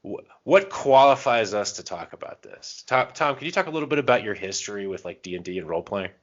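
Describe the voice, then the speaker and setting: Male podcast co-host. Very low voice, casual and self-mocking banter, slightly dull audio. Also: lively intonation, quick pace. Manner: jokey, bantering, self-deprecating. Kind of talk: casual chat.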